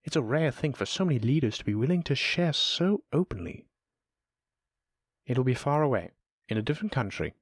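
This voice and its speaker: A man playing a shrewd, self-assured lamia noble in a roleplay audio drama, speaking in a formal, measured, businesslike way. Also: medium pitch, big pitch swings, no room sound, clean studio sound. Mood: composed, encouraging.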